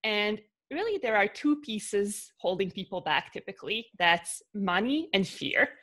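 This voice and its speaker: A woman's voice: mid-range voice, sing-song, measured pace, fairly bright tone. A woman from Bosnia with a Bosnian accent, a podcast guest talking through her ideas with many fillers and restarts. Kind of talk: casual interview talk.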